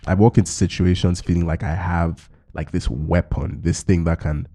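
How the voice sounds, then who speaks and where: A man with a low voice and a gravelly, rough edge to it. He sounds dark in tone and talks in a meandering, reflective way, circling back on his points and filling the gaps with "like" and "you know". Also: fairly even intonation, normal speed. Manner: musing, loose, peppered with fillers. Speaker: podcast host. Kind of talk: reflective conversational chat.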